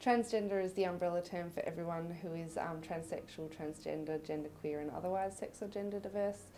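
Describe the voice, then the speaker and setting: A woman calmly explaining a definition. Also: lowish voice, a little fast, a little hiss or hum.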